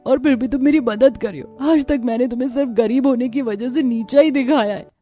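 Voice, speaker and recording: A woman's voice: high voice, brisk, warm tone, faint music behind, natural voice. A woman voicing a remorseful rich sister-in-law in a Hindi cartoon story.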